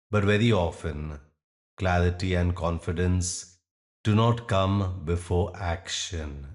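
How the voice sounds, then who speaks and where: A man guiding a meditation in soothing, measured phrases with long, deliberate pauses. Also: sits low, darkish tone. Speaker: meditation guide. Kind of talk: guided meditation narration.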